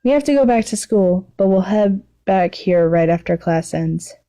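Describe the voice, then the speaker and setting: A woman voicing a reserved, caring teenage boy with a measured manner in a story reading. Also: mid-range voice, animated, mellow, close to an everyday voice. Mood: assuring. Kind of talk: fan fiction read aloud.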